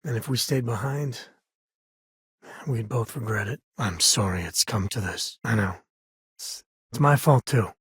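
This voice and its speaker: An easygoing space ranger and protective father for a video game. His voice is husky and sits in a middle male range. He talks in a wry, folksy, conversational way, recorded very dry. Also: medium pitch, a little slow, pauses often, no room sound. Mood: serious, regretful. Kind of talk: video game character dialogue.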